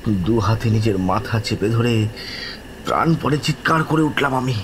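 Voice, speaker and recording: A man playing a terrified, distraught survivor who tells his ghost story in a halting, dramatic way. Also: mid-range voice, expressive, noisy background, natural voice. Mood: haunted, tense. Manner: halting, dramatic, repeating words, breaking into desperate cries. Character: terrified, distraught man recounting a haunting he lived through. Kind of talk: dramatized first-person horror narration.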